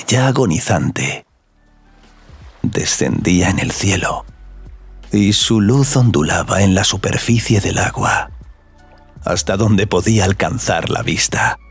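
Deep gravelly male storyteller over music. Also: fairly bright tone. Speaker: narrator. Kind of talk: scripted audio fiction narration.

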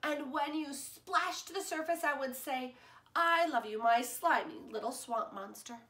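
A woman voicing a loving, patient mother who answers softly and reassuringly, in a roomy-sounding recording. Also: high voice, measured pace, exaggerated voice. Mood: tender. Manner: tender, reassuring storybook delivery. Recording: roomy echo.

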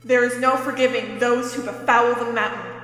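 A woman's voice: fairly high pitch, steady tone, moderate pace, a bit bright, close to an everyday voice. A woman voicing a fierce, proud legendary fire bird in a grand, menacing, declamatory way for a game story. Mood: wrathful challenge. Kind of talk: dramatic fan voice acting for a game story. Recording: cavernous hall reverb.

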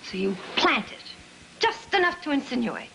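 An actress playing a bitter, accusing wife in a 1950s film melodrama, biting and insistent, on a noisy old soundtrack. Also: female voice, high voice, wildly swooping pitch, normal speed, vocal fry, sharp tone, full character voice. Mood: bitter accusation.

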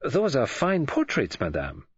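A man's voice: mid-range voice, huge pitch swings, measured pace, husky, dry recording, highs cut off, pitched above the performer's own voice, exaggerated voice. A man voicing a courteous, soothing elderly foreign detective who speaks in a formal, unruffled, philosophical way, in an audiobook. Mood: polite.

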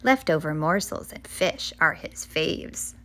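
A woman's voice: low voice, lively intonation, slow pace, pushed and bright. Woman reading a children's book aloud. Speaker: children's author and librarian hosting a storytime.

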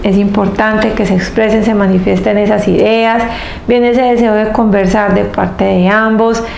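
A woman in her thirties with a heavily creaky voice gives a spiritual tarot reading. She speaks earnestly, in short emphatic phrases with pauses between them. The room is echoey, with faint noise behind her. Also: mid-range voice, steady tone, darkish tone. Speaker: tarot reader and host. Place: small indoor room, seated at table. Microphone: clip-on lapel mic. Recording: roomy echo with faint background noise.

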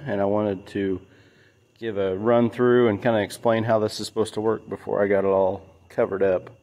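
A man's voice: on the low side, darkish tone. A man speaking casually and plainly as he explains a hands-on workshop project as a DIY host. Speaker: DIY builder and channel host. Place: large metal-roofed workshop building.

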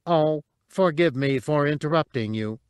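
A man's voice: on the high side, unhurried, clear, resonant tone, dry recording, clean studio sound, voice pushed up, lightly acted. A man voicing a fussy, polite protocol droid with a melodious voice, prim and chatty.

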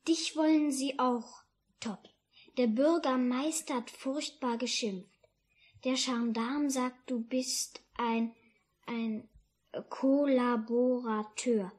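A woman playing a curious young boy, husky and halting, in a 1950s German radio drama. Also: a little high, steady tone, darkish tone. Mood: curious, puzzled. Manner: halting, questioning. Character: curious young boy helping his father.

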